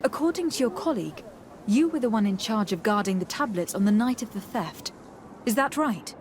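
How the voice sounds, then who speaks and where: A woman playing a courteous young noble diplomat in a video game, asking questions in a polite, measured way. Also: medium pitch, lively intonation, a little hiss or hum, lightly acted. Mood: probing. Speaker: investigator.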